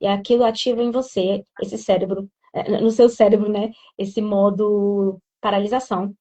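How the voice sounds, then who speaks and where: A woman's voice: medium pitch, flat delivery, moderate pace, warm tone, distant mic. A woman in an interview explaining how people learn English, talking with fillers and restarting her sentences. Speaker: English teacher, guest. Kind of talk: conversational interview.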